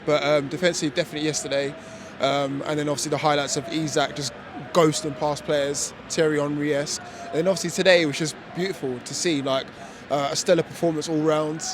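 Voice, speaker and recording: A man giving chatty football opinions outdoors, full of fillers and tag questions. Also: on the high side. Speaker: fan pundit. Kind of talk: casual football chat. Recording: outdoor traffic noise.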